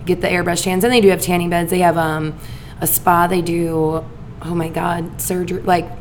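A woman with a raspy voice co-hosting a podcast, chatting casually and filling her speech with "like" and "um". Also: lowish voice, level tone, moderate pace, slight background noise. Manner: chatty, filler-heavy with lots of "like". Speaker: podcast co-host.

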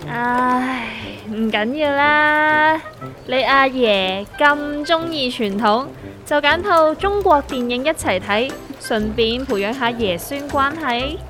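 A woman's voice: sits high, expressive, slow, a bit bright, faint music behind, heavily acted. A woman with a Hong Kong Cantonese accent playing a sensible, inquisitive friend in a comedic audio drama, questioning and wry.

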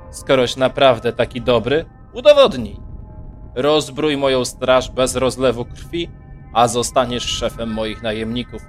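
A man's voice: medium pitch, close to an everyday voice. A man playing a haughty, shrewd margrave who commands a merchant caravan in a fantasy audio drama.

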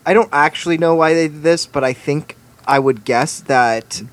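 A man's voice: on the high side, normal speed. A man chatting casually as a podcast co-host, rambling with many restarts and laughing along. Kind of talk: casual chat.

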